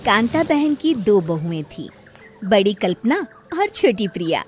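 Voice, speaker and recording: A woman's voice: mid-range voice, big pitch swings, unhurried, faint music behind. A woman narrating a scripted family story as a storyteller.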